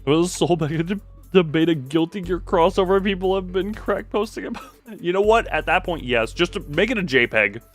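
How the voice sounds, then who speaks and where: A man's voice: high-pitched, expressive, brisk, faint music behind. A man casually commentating on a live game stream in an irreverent, joking, sweary way.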